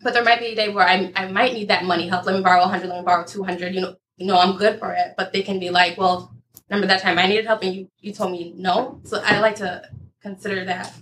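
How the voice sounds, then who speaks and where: A woman guest speaks quickly in a bright, clear voice, talking her views through thoughtfully and at length. Faint room ambience sits behind her. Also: mid-range voice, steady tone, slight background noise. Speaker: panel guest. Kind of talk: casual debate.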